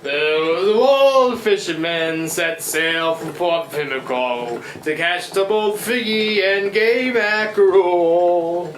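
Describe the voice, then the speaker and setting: A woman's voice: lowish voice, lively intonation, takes it slowly, few pauses. A woman playing a scruffy, hard-drinking riverboat man in a radio play, with folksy, rambling, theatrical working-class speech. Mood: drunk, groaning. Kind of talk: live radio play acting. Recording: roomy live stage, watery foley noise.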